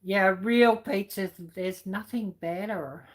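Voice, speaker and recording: A middle-aged woman with a croaky voice chatting casually on a livestream, answering viewers with lots of ums and you knows. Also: medium pitch, slow pace. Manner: rambling with many fillers, chatty. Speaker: livestream host reading chat. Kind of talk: casual chat.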